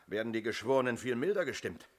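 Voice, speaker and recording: An actor playing a brash police inspector who gives orders and needles suspects mockingly in a 1970s radio play. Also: male voice, medium pitch, sing-song, fast talker. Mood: sardonic, coaxing. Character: brash, sardonic male police inspector commanding an arrest.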